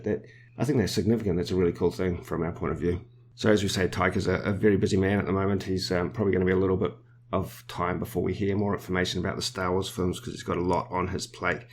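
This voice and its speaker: A New Zealand man hosting a podcast, explaining film news in a measured way with small restarts. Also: low-pitched, a little mellow, a little hiss or hum. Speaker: podcast host. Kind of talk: casual podcast discussion.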